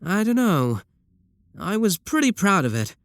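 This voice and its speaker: A man playing a devoted, earnest kidnapper sibling in an ASMR roleplay, with a deadpan, matter-of-fact delivery. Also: high voice, measured pace, no echo, studio quality, full character voice. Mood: proud, deadpan. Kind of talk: scripted ASMR roleplay acting.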